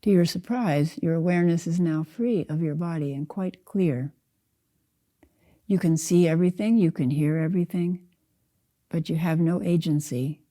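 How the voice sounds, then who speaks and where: An older woman leading a guided meditation as a Zen teacher, speaking in a calm, unhurried, measured way with spaced-out phrases. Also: fairly low pitch.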